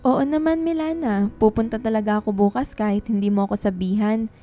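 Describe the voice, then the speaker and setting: A woman's voice: mid-range voice, fairly quick, dark tone, faint music behind, close to an everyday voice. A woman playing a shy, sincere young writer who is easily flustered, in a radio drama. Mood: friendly, bashful.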